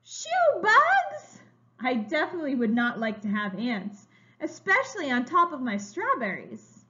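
A woman with a high, bright voice reads aloud to small children like a preschool teacher. Her delivery swings widely in pitch and is very expressive, with emphatic words. The recording is a little dull, like a home webcam. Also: measured pace. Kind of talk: children's story read-aloud. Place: room at home, indoors. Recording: slightly dull, lo-fi webcam sound.

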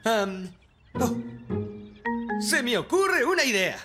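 A bumbling young cartoon fireman with a European Spanish accent. His voice is very high for a man and swings widely in pitch. He is eager, flustered and deferential, and loud music plays behind him. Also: very animated, slow, full character voice. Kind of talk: children's cartoon dub. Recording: studio voice over loud music.

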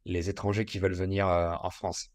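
A man's voice: deep voice, level tone, brisk, no room sound, clean studio sound. A middle-aged man hosting an interview on a video call, explaining things in an encouraging way through a headset mic. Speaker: host, French teacher. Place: small room at home.

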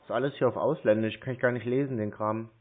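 A man talks over a muffled, tinny phone line at a middling pitch. He sounds hesitant and a little slow on the uptake, rambling through his explanations in casual conversation. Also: steady tone. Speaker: caller posing as a confused customer.

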